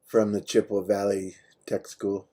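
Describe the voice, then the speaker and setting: A man's voice: fairly low pitch, little pitch movement, normal speed, warm tone. A man with an American accent chatting casually on a phone call in a laid-back, matter-of-fact way, in short plain sentences. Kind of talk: casual personal phone chat.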